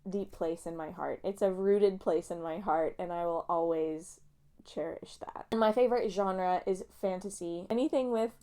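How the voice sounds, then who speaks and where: A young woman rambles through a book vlog in a loose, self-mocking way and keeps wandering off topic. Her voice is smooth and clean, with a slight nasal, sniffly edge from allergies. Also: lowish voice, normal speed, dark tone. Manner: chatty, self-mocking, goes off on tangents. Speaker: booktuber.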